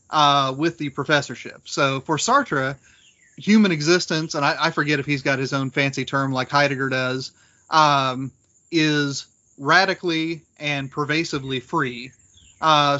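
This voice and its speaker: A man discussing literature as a podcast panelist in a scholarly, deliberate, explanatory way. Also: a little high, sharp tone, dull audio.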